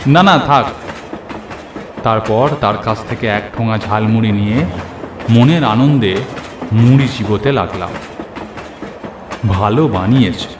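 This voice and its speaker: A man with a mid-pitched voice tells a first-person cartoon tale expressively. His narration has a roomy echo and sits over clear train and ambient noise. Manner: expressive storytelling with acted dialogue. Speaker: story narrator. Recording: echoey, with noisy train and storm ambience.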